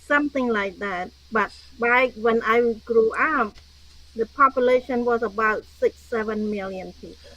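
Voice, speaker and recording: A woman's voice: on the high side, measured pace, a bit bright, dry recording, highs cut off. An older woman from Cambodia with a Cambodian accent, answering conversationally as an interview guest. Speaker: podcast guest, author and speaker.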